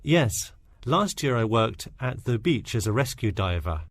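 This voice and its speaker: A man acts as an eager, easygoing job applicant with a medium-pitched voice. He answers cooperatively and casually, as in a scripted interview, in a very dry, close recording. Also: sing-song, a little slow, no echo. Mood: confident, agreeable. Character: eager, agreeable male lifeguard job applicant. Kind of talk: scripted listening-test dialogue.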